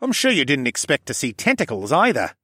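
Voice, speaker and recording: Fantasy audiobook sidekick: male reader as loyal dragon shifter, wry and amused, slightly high, animated voice. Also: brisk, breathy edge, no echo, studio quality. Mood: smug amusement. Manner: amused, snorting, teasing.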